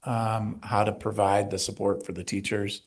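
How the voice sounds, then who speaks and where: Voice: sits low, level tone, normal speed, slightly rough. A school administrator answering questions at a board meeting in brief, informal replies, often saying yeah. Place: district office board room.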